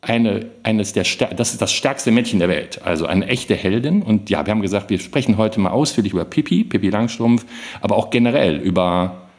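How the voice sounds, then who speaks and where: Casting: man with a lowish voice, casual podcast co-host. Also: lively intonation, brisk, a little mellow.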